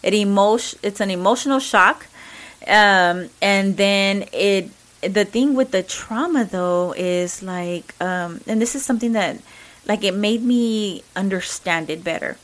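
A woman's voice: medium pitch, unhurried, fairly bright tone. A woman with a Mexican accent talking earnestly and casually, with many fillers and restarts. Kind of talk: casual reflective talk.

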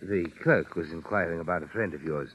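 An actor playing a kindly, fatherly boss in an old-time radio drama, gentle and reassuring. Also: sits low, fairly quick. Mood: soothing. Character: kindly, fatherly male boss, soothing and solicitous. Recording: dull, noisy old radio recording.

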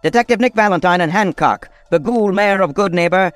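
An actor playing a dramatic old-time radio announcer, narrating a mystery serial. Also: male voice, high-pitched, a little fast, faint music behind, lightly acted.

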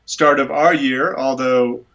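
A man's voice: mid-range voice, fairly even intonation, slow pace, fairly bright tone, highs cut off. A man calling in as a guest, talking in a friendly, casual way with a few fillers. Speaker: guest, wildlife attorney.

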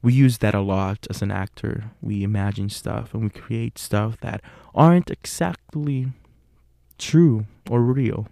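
Slow, gravelly young man with a Puerto Rican accent. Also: fairly low pitch, mellow, no room sound, clean studio sound. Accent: Puerto Rican Spanish. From Puerto Rico. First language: Spanish. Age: young adult. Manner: earnest, with frequent fillers and self-corrections. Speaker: podcast host. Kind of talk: solo podcast monologue.

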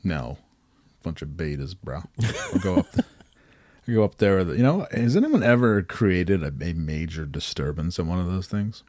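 A man's voice: deep voice, big pitch swings, normal speed, darkish tone, no echo. A man co-hosting a podcast in casual chat, joking, laughing often and swearing. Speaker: podcast co-host.

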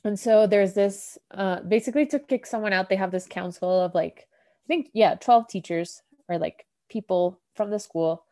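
A woman hosting a podcast and chatting through an episode recap. Her voice is warm and mellow, pitched mid-range, and she rambles loosely with constant "like" fillers. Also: moderate pace. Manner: rambling, heavy use of "like", laughs often. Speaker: podcast host.

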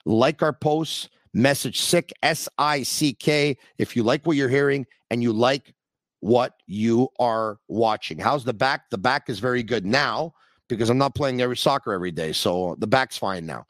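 A man's voice: mid-range voice, moderate pace, dry recording. Chatty man hosting a call-in show. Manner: chatty, rambling, animated. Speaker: podcast host. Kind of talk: casual call-in show chat.

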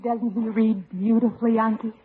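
An actress playing a cheerful, affectionate young wife and niece in an old radio sitcom. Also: female voice, fairly high pitch, level tone, normal speed, natural voice. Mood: pleased. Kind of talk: 1940s-50s radio sitcom acting. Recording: tinny, hissy old radio recording.

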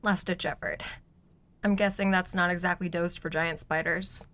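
A woman playing a dryly joking male park director in an amateur audiodrama, deadpan. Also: on the low side, moderate pace, natural voice. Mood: dry, awkward. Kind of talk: scripted audiodrama read by amateur players.

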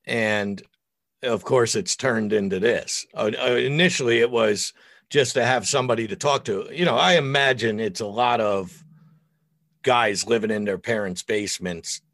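A middle-aged American man with a deep voice, chatting casually and bluntly on a podcast as an opinionated, foul-mouthed guest. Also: normal speed, fairly bright tone, no echo. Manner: opinionated, blunt, crude joking. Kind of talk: casual, profane podcast chat.

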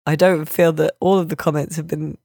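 A woman's voice: low-pitched, fairly even intonation, fairly quick, a little mellow, no room sound, studio quality. A woman hosting a podcast, chatting casually in a wry, apologetic way. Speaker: podcast host. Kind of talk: casual chat.

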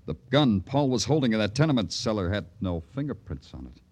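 An actor playing a terse, grim New York police detective in an old radio crime drama, with a clipped, world-weary delivery. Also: male voice, fairly low pitch, big pitch swings, a little fast, darkish tone. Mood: probing, cold. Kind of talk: 1940s-50s radio crime drama acting. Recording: old radio broadcast, music underneath.

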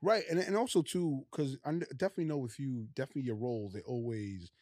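A man's voice: medium pitch, fast talker, a little mellow, no echo, studio quality. A man hosting a podcast, talking in a hyped, loose, slangy way with many restarts. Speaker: podcast host. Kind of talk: casual interview chat.

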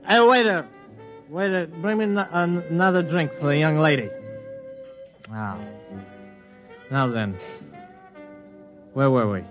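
A man's voice: a little high, slow pace, long pauses. A man acting a tipsy, rambling drifter in an old-time science fiction radio drama, with loose, hesitant speech. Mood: tipsy, friendly. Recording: dull vintage radio recording, music.

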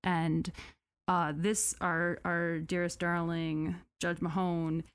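A young American woman co-hosting a casual podcast, chatty and jokey, full of "like" fillers and quick asides. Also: lowish voice, little pitch movement, clear, resonant tone. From United States. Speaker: podcast co-host.

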